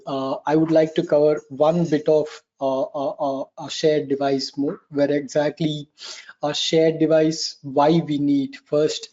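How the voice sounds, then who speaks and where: Male guest presenter, fairly high but soft-edged voice, halting delivery, lo-fi call audio. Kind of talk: informal technical presentation. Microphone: online meeting call. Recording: dull lo-fi online call.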